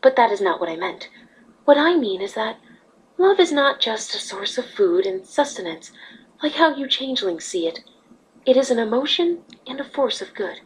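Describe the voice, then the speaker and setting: A woman voicing a wise, motherly pony ruler in a fan fiction reading, speaking patiently and earnestly with a slightly husky voice. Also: fairly high pitch, sing-song, normal speed, poor audio. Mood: earnest teaching.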